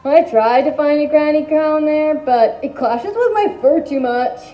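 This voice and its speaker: A woman's voice: high-pitched, measured pace, mellow. A woman playing a sly, hungry wolf posing as an old granny, giving theatrical, wry commentary in a fairy tale stage skit. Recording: roomy echo, music behind.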